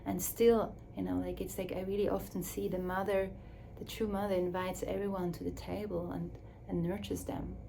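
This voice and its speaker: A woman speaking English as a second language in a slow, searching reflective talk, with restarts and soft drops to a near whisper. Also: lowish voice, flat delivery, creaky, slight background noise, poor audio. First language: Swiss German or Dutch. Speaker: speaker on her own channel.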